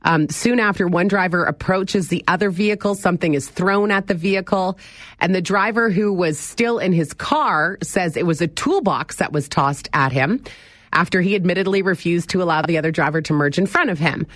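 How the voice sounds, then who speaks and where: A middle-aged woman hosting a morning radio talk show, chatty and animated as she talks straight to her listeners. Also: fairly low pitch, moderate pace, dry recording, clean studio sound. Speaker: radio host. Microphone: studio microphone.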